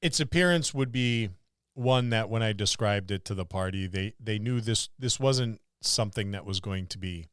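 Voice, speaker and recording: A man co-hosting a podcast, talking casually about tabletop gaming in short, considered phrases with pauses. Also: on the low side, fairly bright tone, clear, resonant tone, no room sound, clean studio sound. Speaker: podcast co-host.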